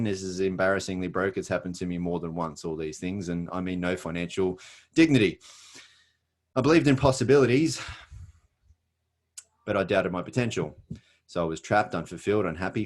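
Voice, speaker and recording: A middle-aged Australian man giving an earnest motivational presentation, pausing often with fillers and restarts. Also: low-pitched.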